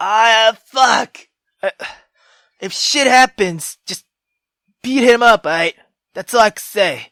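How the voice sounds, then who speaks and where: A young man playing a visual novel on camera, reacting loudly and excitably with lots of swearing. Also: high voice, slow pace, pauses often, no echo, studio quality. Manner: loud, excitable, heavy swearing. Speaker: gaming YouTuber. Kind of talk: let's-play commentary.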